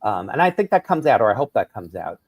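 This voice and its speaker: New author explaining eagerly. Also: male voice, lowish voice, sing-song, quick pace, a crowd in the background. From New York. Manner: enthusiastic, explanatory, tags "right?". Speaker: author and drag performer, guest. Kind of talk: radio interview.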